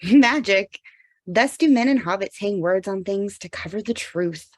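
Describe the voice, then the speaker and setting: A woman's voice: medium pitch, animated, measured pace. A woman acting a lofty, knowing elf with a formal manner in a script table read.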